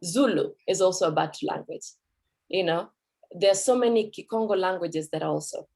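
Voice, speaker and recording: A woman's voice: on the low side, moderate pace, creaky, darkish tone. A Kenyan woman whose first language is Kikuyu, giving a conversational talk at a cultural event as a Swahili teacher, with fillers and self-corrections.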